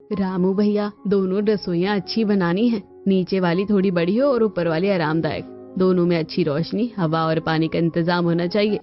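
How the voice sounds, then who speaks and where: A woman's voice: on the low side, fairly even intonation, moderate pace, natural voice. A woman voicing a determined middle-aged Indian mother in a cartoon story. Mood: brisk, decided. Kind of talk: Hindi cartoon story dialogue. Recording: dull lo-fi audio with music.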